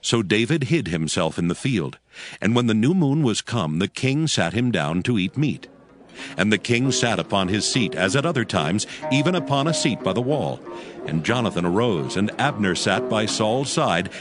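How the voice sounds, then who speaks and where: A man narrates scripture from a script. His voice is on the low side and moves expressively through the archaic phrasing. Music plays prominently behind the reading. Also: very animated, normal speed. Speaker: Bible narrator. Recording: background music under the voice.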